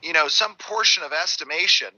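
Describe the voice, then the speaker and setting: A man presenting game design on a livestream, his voice raspy and tired, rambling with many fillers. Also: a little high, a little fast, bright, forceful tone, no room sound. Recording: dull sound with faint music.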